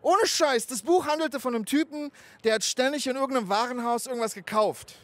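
A man in his thirties who grew up in Germany, doing a stand-up comedy set on an outdoor rooftop stage into a handheld mic. He tells stories in an animated, conversational way and swears freely. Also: extremely high pitch, a little fast. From Afghanistan, raised in Germany.

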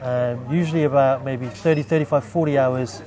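Shop owner, 30s, mellow warm voice, even explanatory delivery, camera mic. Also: male voice, medium pitch, moderate pace. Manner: calm, explanatory, with many fillers. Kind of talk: on-site interview. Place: shop and community hall, indoors.

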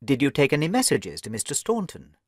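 A man voicing a keen, brisk detective who asks crisp, probing questions. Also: mid-range voice, wildly swooping pitch, dry recording, clean studio sound.

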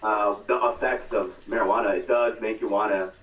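A man's voice: on the low side, fairly even intonation, a little fast, a bit bright, lo-fi sound. Man in his forties calling in, hesitant, sounds distant and roomy. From born in Korea, grew up in America. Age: 40s. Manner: hesitant, searching for words. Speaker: caller. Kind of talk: casual phone-in chat. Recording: distant, echoey call-in line.

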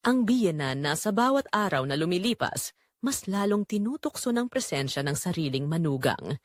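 A woman playing a guilt-torn, longing middle-aged mother-in-law, narrating an audio drama in deliberate, emotive first-person phrases. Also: fairly low pitch, no room sound, studio quality. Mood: conflicted, confessional.

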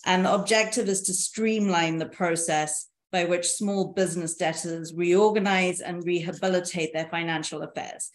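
A woman in her thirties presenting slides on a video call, in a measured, explanatory way. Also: on the low side, fairly even intonation. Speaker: panelist presenting on insolvency reform. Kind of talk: presentation over shared slides. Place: indoor room, video call. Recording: echoey room on a video call.

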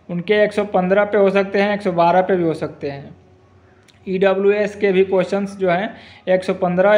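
A man's voice: high voice, darkish tone, muffled recording. A young man giving an informal explanation to his viewers, recorded on a phone at arm's length indoors. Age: young adult. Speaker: exam-update video host. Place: indoor room at home.

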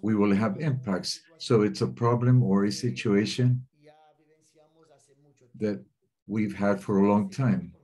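A man giving a simultaneous interpretation of webinar talks, speaking in a steady, measured way with occasional hesitations. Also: lowish voice, breathy edge. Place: remote webinar.

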